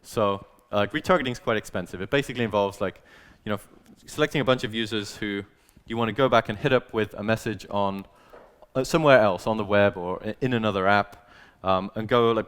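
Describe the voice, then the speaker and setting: A man with a fairly low, clear and smooth voice gives an informal business presentation. He explains things casually, in everyday conversational phrasing, as a speaker addressing an audience. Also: fairly bright tone. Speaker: conference speaker, growth manager.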